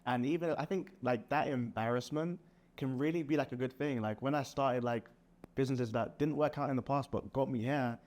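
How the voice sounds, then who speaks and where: A man's voice: medium pitch, a little fast, a little mellow. A young man in a casual business chat, talking in a motivational way with lots of "like" and "man". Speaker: sales partner.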